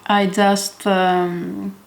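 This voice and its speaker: A woman with a Greek accent speaks slowly in an unhurried home kitchen chat. Her voice sits at an ordinary middle pitch. She halts and restarts as she hunts for English words. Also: little pitch movement, gravelly. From Greece. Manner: chatty, halting, searches for words. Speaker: home cook. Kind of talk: casual cooking video.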